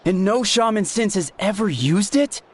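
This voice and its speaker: A man's voice: high voice, expressive, moderate pace, slight background noise. A man voicing a skeptical, sarcastic young tribesman in a video game. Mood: scoffing. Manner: scoffing, sarcastic.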